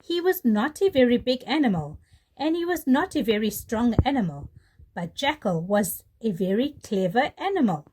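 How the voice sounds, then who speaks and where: A woman teacher reading a children's story aloud in an animated way, addressing young children. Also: fairly high pitch, moderate pace, slightly rough, rough recording. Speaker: pre-primary teacher, storyteller.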